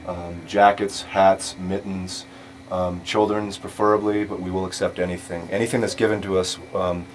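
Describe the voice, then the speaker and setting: A man's voice: sits low, level tone, normal speed. A man giving information as a charity volunteer in a radio interview. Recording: faintly noisy radio interview recording.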